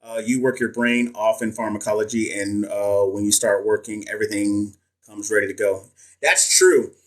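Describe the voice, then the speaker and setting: A man's voice: lowish voice, steady tone, moderate pace. A man hosting a casual livestream as a nursing exam coach, answering viewers in loose, joking slang with frequent restarts. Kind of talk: casual livestream chat reading viewer comments.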